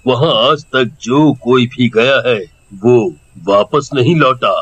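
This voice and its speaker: Village headman for horror tale male performer: deferential, earnest, middle pitch, very slow delivery. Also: fairly bright tone. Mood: worried, pleading. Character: respectful, worried male village headman pleading for help. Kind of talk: acted Hindi horror audio story.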